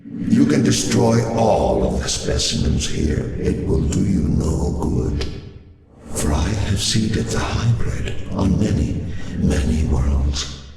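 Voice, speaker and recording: Ageless villain: slow, low, smug and grandiose, game cutscene with music behind. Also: male voice, lively intonation, mellow, exaggerated voice. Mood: ominous grandeur. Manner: grandiose, ominous, condescending. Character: ageless male schemer, servant of a dark power, coldly smug. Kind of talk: video game cutscene acting. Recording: cavernous reverberant hall, music behind.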